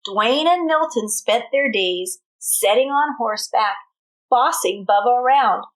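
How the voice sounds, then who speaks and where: A woman teacher reading a storybook aloud to young children, pausing to explain and ask questions. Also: on the high side, expressive, low-quality recording. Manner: explanatory, pauses to question the listeners.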